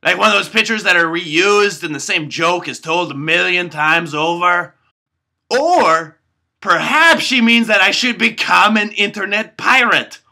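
A man doing a raspy dim puppet host. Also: sits high, measured pace, bright, forceful tone, full character voice. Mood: self-important. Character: naive self-important male puppet host, cheerfully dim. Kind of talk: comedic puppet show monologue. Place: indoor bedroom.

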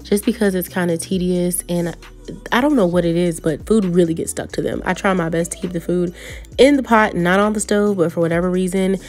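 Chatty young woman with a lowish voice. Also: brisk, faint music behind. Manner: chatty, relaxed, laughs lightly. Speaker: vlogger. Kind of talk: casual clean-with-me voice-over.

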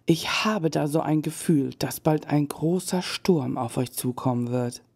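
A woman voicing Shirley, a mysterious older medium in an audio drama, speaking in slow, halting visions. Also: low voice, vocal fry, a little mellow, slightly rough, heavily acted. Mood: knowing. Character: mysterious older female fortune teller. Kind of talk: German fan audio drama.

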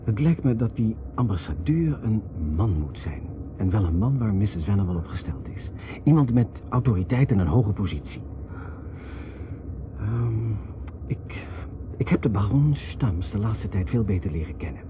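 A man's voice: fairly low pitch, lively intonation, normal speed. An actor playing an earnest, persuasive man in a radio drama, in a conversational way. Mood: urgent, persuasive. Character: Ted, earnest persuasive middle-class American man. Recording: noisy, muffled old radio drama recording.

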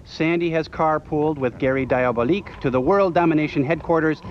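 An actor playing an earnest male robot who pitches a script in therapy-speak. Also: fairly quick, natural voice. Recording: dull lo-fi TV recording with faint hiss.

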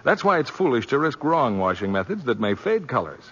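A man's voice: mid-range voice, very animated, brisk, a bit bright, breathy edge. A man announcing in scripted 1940s radio advertising patter. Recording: muffled 1940s radio recording.

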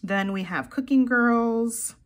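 A woman's voice: a little high, slow pace. A young woman chatting casually through a sticker haul at her desk, gushing and giggly, her voice a little froggy from the early morning. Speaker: planner vlogger.

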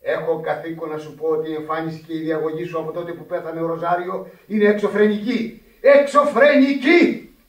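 A man plays a scolding parish priest in a radio play. His voice is high for a man, formal and emphatic as he admonishes. It sounds echoey and set well back from the microphone. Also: distant mic, lightly acted. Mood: scolding, indignant. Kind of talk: radio drama acting.

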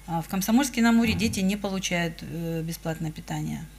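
A middle-aged woman answering questions at an official meeting in a halting, hesitant way. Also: lowish voice, darkish tone, a little hiss or hum. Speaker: government official. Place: indoor meeting room.

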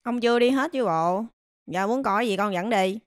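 A woman's voice: mid-range voice, normal speed, no room sound, clean studio sound, lightly acted. A woman voicing a small, mischievous cartoon rabbit, cheeky and nonchalant, with a southern Vietnamese accent. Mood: exasperated. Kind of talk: animated comedy dialogue. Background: music.

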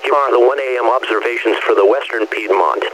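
A man's voice: mid-range voice, a little fast, a bit bright, slight background noise. A synthetic male voice reading a formal weather bulletin over radio. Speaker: automated weather radio reader. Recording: dull, hummy weather radio reception.

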